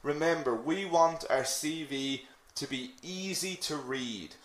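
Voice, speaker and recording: Slow, chatty Irish man teaching. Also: on the high side, echoey room. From Ireland. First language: English. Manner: chatty, asks the class questions, frequent "okay?" check-ins. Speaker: English teacher. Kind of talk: online teaching lesson on CV writing.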